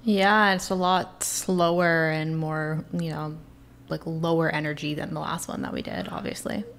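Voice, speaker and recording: A woman's voice: sits low, steady tone, measured pace, creaky, a bit bright. A young woman co-hosting a music reaction video, chatting casually, giggly and self-deprecating. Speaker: co-host of a music reaction channel. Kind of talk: casual chat.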